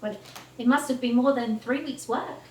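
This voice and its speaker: An actress as a young woman with a high voice, politely and tentatively questioning in stage dialogue. The sound is distant and echoey, with some noise. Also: fairly even intonation, normal speed, a little mellow, close to an everyday voice. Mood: curious, polite. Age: young adult. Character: curious, polite young woman interviewing an old performer. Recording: echoey, noisy theatre stage from far off.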